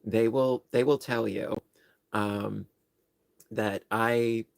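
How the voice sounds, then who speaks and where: A man's voice: lowish voice, steady tone, drawn-out words, creaky, no echo. A man from New York with a New York accent, rambling and joking at his own expense while chatting casually on a livestream. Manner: rambling, self-deprecating, joking. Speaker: livestream host.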